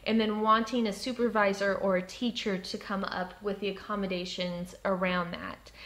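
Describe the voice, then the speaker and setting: A woman who stutters, with blocks and repeated sounds, giving an explanatory advice talk in a composed, frank and deliberate way. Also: mid-range voice, a bit bright. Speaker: presenter giving workplace advice. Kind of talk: explanatory talk with personal examples.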